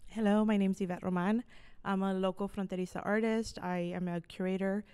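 A woman's voice: mid-range voice, little pitch movement, normal speed, a little mellow, smooth. A woman artist and teacher giving a brief informal introduction of herself on a handheld microphone. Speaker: artist, curator and art teacher. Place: indoor room, seated panel.

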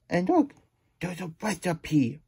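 Man voicing an excitable, husky childlike hedgehog plush storyteller. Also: high voice, slow pace, heavily acted. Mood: amazed, delighted. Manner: childlike broken grammar, third-person self-reference, gasping wonder. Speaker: storytime host. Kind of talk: character read-aloud with chatty asides. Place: small room indoors at a table.

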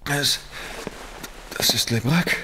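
Shaken, halting police commissioner. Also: male voice, mid-range voice, bright, forceful tone, whispered. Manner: hesitant, halting. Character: shaken, respectful male French police commissioner. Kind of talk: horror audio drama acting.

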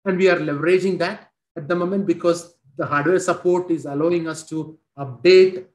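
Man in his forties with an Indian accent, headset, halting presenter, echoey room. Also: on the high side, fairly even intonation, a little mellow. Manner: halting, many fillers and restarts. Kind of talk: technical product demo over video call. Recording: roomy video call audio.

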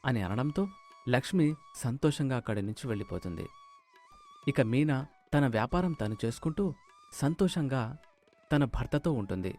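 A man's voice: mid-range voice, a little mellow. A man narrating a scripted moral story as the storyteller.

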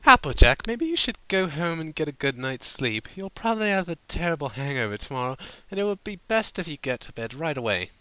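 A man's voice: fairly high pitch, big pitch swings, a little fast, hardly any pauses, pushed and bright, clear, resonant tone, no room sound, pitched above the performer's own voice, rough recording. A man voicing a refined, tactful female pony in a storybook, speaking in a polite, proper, slightly flustered way. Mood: placating. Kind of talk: fan fiction audiobook character voice.

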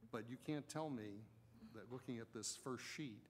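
Insistent male official, medium pitch, plain-spoken meeting debate, slight background noise. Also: normal speed. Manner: blunt, insistent. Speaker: council member. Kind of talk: critical discussion at a public budget meeting. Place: council chamber.

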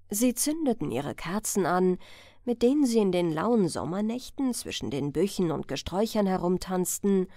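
Woman narrator, fairy-tale audiobook, expressive, slow, dry intimate sound. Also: fairly high pitch, gravelly, no room sound. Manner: expressive, careful storytelling.

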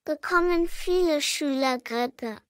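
A woman doing a high little sick girl. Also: no echo, studio quality. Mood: polite. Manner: slow, clearly spoken lesson lines. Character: young girl patient, polite and sick.

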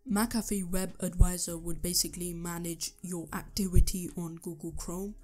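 A young man with a sore, hoarse throat, talking through a computer tutorial step by step, with the odd um. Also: high-pitched, little pitch movement, normal speed, a little mellow, soft background music. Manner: earnest step-by-step instructions with ums. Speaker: tech tutorial youtuber. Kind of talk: screen-recorded tutorial voice-over.

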